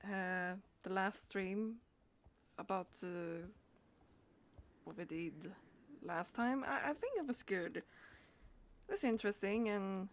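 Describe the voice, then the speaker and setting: Slow-talking woman chatting on a livestream. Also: mid-range voice, animated. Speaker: live streamer. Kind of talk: casual livestream chat.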